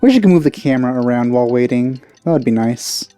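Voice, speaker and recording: A man's voice: medium pitch, expressive, fast, warm tone, no room sound, soft background music. A man giving casual gameplay commentary in offhand asides. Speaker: let's player.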